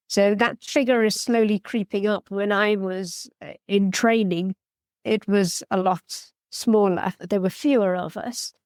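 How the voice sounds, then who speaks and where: A woman guest from Guyana explains her work in a practical, matter-of-fact way. Her voice sits at a middle pitch and is recorded close and dry, with no room sound. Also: a little mellow, no echo. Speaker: orthopedic surgeon guest. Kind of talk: interview answers.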